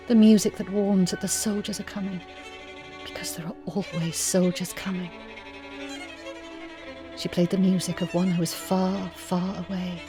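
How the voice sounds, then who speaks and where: A woman narrating a literary short story expressively, in measured, evocative phrases with hushed moments. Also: lowish voice. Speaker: story reader.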